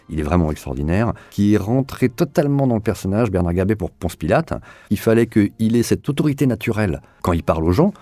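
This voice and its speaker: A man's voice: low-pitched, mellow, dry recording. A man being interviewed as an audio series director, explaining his own work in a hesitant way, often repeating words as his thoughts form.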